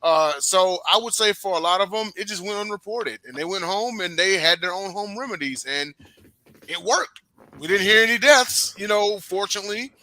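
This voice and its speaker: A man from Houston talks on a sports panel with a bright, forceful, slightly high voice. He is chatty and pokes fun at himself. The sound is dry and close. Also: lively intonation, normal speed, no echo, low-quality recording. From Houston, Texas. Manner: chatty, self-deprecating humor, lots of fillers. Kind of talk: casual sports panel talk.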